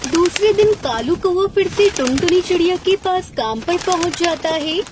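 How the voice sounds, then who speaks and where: A woman narrates a children's moral tale from a script. Her voice is extremely high, and there is clear noise behind it. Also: lively intonation, moderate pace.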